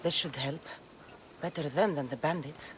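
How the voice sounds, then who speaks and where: Woman acting as tough Greek mercenary heroine, low voice, blunt, wary, video game, loud insect ambience. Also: moderate pace, natural voice. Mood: musing. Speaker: lead game character. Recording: noisy outdoor ambience, chirping insects.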